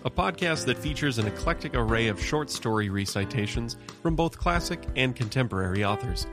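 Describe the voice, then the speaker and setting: A man reads a scripted station promo as a podcast host. His voice is mid-pitched and animated, with prominent music playing behind it. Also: fairly quick.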